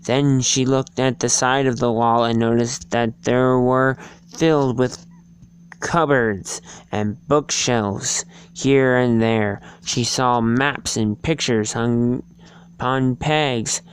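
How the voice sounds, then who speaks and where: A person giving an amateur dramatic reading of a storybook, halting and stumbling over the words. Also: mid-range voice, fairly even intonation, clean tone, no room sound. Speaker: reader.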